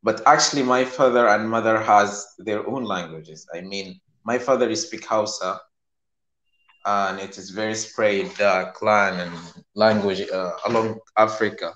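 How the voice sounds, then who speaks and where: A man from Sudan whose first language is Arabic, speaking in a casual discussion in a halting, earnest way with many restarts. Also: fairly low pitch, fairly even intonation, a bit bright, muffled recording. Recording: echoey room, faint noise.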